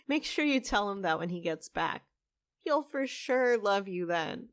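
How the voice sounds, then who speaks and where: Casting: actress for a secretive, easily flustered young half-fae woman in a fantasy audio drama; slow, halting, dry studio sound. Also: fairly high pitch, sing-song, no echo. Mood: amused.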